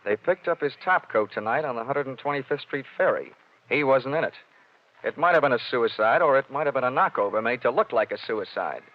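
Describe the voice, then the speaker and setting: An American actor playing a wry, tough insurance investigator in a 1940s radio detective drama, with a clipped, sardonic delivery. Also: male voice, medium pitch, lively intonation, brisk, lightly acted. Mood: pressing, hard. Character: wry, tough male freelance insurance investigator, hard-boiled. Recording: dull vintage 1940s radio recording.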